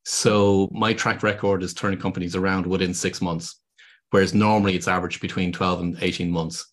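Man, Irish accent, very low voice, earnest, halting explanations, podcast guest. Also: level tone. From Ireland. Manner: earnest, explanatory, with many ums and restarts. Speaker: guest, business consultant. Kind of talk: podcast interview.

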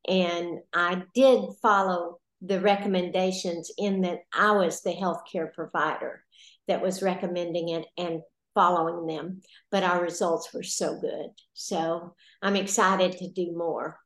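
A woman's voice: fairly low pitch, steady tone, unhurried. Folksy woman in her seventies, echoey. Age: 70s. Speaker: interview guest, healthcare provider. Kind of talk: casual chat.

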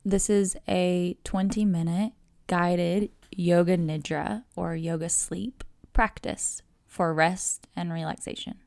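A woman guiding a relaxation meditation, speaking in a calm, measured, soothing way with pauses between phrases. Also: on the low side, gravelly, no echo, studio quality. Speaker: yoga nidra guide. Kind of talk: guided meditation narration.

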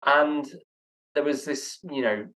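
A man's voice: medium pitch, slow, a bit bright, poor audio. A young man being interviewed as a guest speaker, answering in a reflective, earnest way with some stammering restarts.

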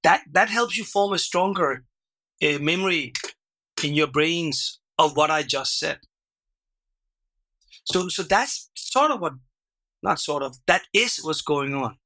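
A man whose first language is Danish, a workshop facilitator explaining his method as a podcast guest, animated and full of restarts and "right?". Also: fairly high pitch, takes it slowly, pauses often. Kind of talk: conversational interview.

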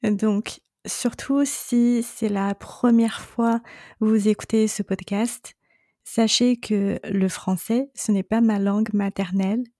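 Slow, mellow-voiced woman coach. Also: medium pitch, no echo, studio quality. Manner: calm, reassuring, speaks directly to the listener. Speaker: separation coach and podcast host. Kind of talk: solo coaching podcast monologue.

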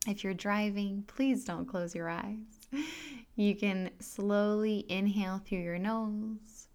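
Gentle woman hosting a wellness podcast. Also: medium pitch, fairly even intonation, clean tone. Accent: American. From France. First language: French. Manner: soft, gentle and unhurried, with some fillers. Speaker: podcast host and health coach. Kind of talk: calm solo podcast talk with guided breathing.

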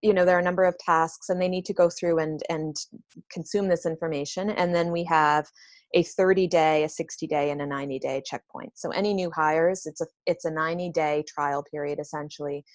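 Low-pitched woman guest, dry recording. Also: steady tone, a little fast, no echo. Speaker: business owner being interviewed. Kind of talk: conversational explanation in an interview.

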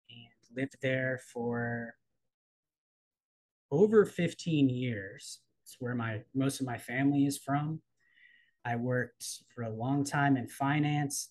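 Interview guest, male, 30s, from the US East Coast. Thoughtful, candid, measured delivery. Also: mid-range voice, low-quality recording. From East Coast of the United States. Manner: thoughtful, measured, with frequent ums and trailing pauses. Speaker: guest, coach and writer. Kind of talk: reflective personal interview.

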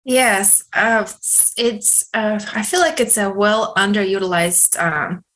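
A middle-aged woman from Russia, a neurofeedback practitioner, answering interview questions in an earnest, measured way with many pauses. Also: mid-range voice, a bit bright.

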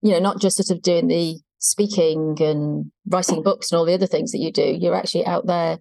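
A woman's voice: lowish voice, fairly even intonation, a little fast, creaky, mellow, dry recording, rough recording. A woman interviewer who asks friendly, prompting questions in a casual talk. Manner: friendly, prompting questions, shared-background remarks. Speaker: interviewer, host.

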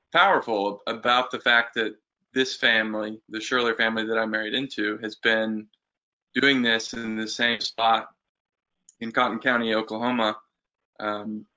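Bright-toned man speaking in an even, low voice. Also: rough recording. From United States. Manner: thoughtful, halting with fillers. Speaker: guest farmer. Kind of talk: casual interview chat.

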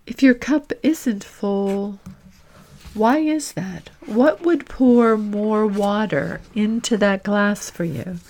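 Woman doing guided meditation podcast, real slow and deliberate, mid pitch, lots of pauses. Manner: gentle, deliberate, with long reflective pauses. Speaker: podcast host leading guided reflections.

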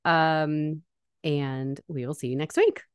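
A woman hosts a relaxed podcast chat, bubbly and quick to laugh, her voice sitting a little low. The sound is close and dry with no room around it. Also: clean tone, dry recording. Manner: bubbly, laughs often, many fillers. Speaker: podcast host. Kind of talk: casual podcast interview.

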